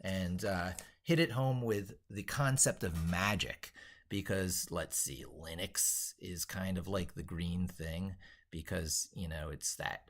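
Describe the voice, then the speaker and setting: Man, deep voice, casual livestream chat, rambling, unscripted, thinking aloud. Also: takes it slowly. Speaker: livestream host.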